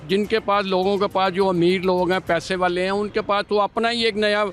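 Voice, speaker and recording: Emphatic Pakistani man with a higher voice. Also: little pitch movement, normal speed, a bit bright. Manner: emphatic, insistent, repeats himself. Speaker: member of the public interviewed. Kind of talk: street interview opinion. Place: outdoors on a city street. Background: music over urban outdoor sound.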